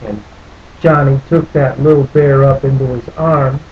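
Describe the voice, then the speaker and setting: A man reading a children's picture book aloud in a folksy, playful, drawn-out way with little asides. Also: medium pitch, fairly even intonation, lo-fi sound, slight background noise. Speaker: storybook reader.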